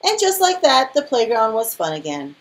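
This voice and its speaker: Woman reading a storybook, highly animated. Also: moderate pace. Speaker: storybook reader. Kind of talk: expressive children's read-aloud.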